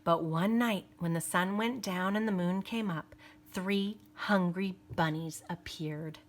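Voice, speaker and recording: A woman teacher reading a picture book aloud, pausing for teaching asides and dropping to playful whispers for suspense. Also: on the low side.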